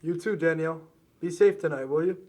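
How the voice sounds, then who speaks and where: A man acting as a gentle, forgiving Christ-like son, with an earnest, patient way of talking in film dialogue. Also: on the high side, a little fast, natural voice. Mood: friendly.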